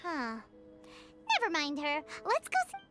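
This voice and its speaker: A woman voicing a small, excitable fairy companion in a video game, squeaky and childlike, with exclamatory, indignant delivery. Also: a little slow, soft background music, exaggerated voice. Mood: grumbling.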